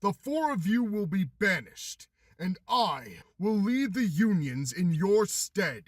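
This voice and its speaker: A ruthless, power-hungry master of warriors, acted by a man for a game fandub. He speaks slowly and solemnly in a fairly high male voice, with commanding, wide-ranging intonation. The sound is close and dry. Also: sing-song, no echo, exaggerated voice. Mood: imperious. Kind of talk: anime-style game fandub acting.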